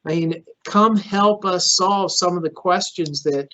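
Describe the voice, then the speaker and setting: A man's voice: sits high, a little slow, fairly bright tone. A middle-aged man whose first language is Greek, a county manager answering questions at a public forum in an earnest way that repeats words as he starts phrases.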